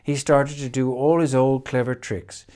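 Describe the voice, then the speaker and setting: An older man reads a children's picture book expressively, with measured phrasing and dramatic pauses. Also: mid-range voice, steady tone, warm tone. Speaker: storyteller reading a picture book. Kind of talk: expressive children's storybook reading. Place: indoors, room in a house with stone wall.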